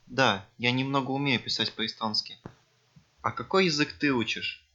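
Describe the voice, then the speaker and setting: A man reading scripted textbook dialogues for a language course, speaking clearly. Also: medium pitch, normal speed, sharp tone. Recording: dull lo-fi audio.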